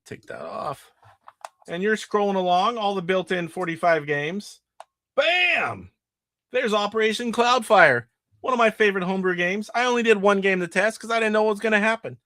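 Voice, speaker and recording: Sick, nasal man with a high voice. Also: animated, normal speed, pushed and bright. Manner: rambling, demonstrating games live. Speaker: retro gaming show host. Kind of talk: casual live stream chat.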